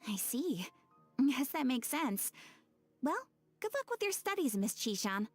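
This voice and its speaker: A friendly curious game adventurer girl, high n lively voice, polite, faint music. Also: measured pace, heavily acted. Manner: courteous, expressive. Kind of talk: scripted game character dialogue.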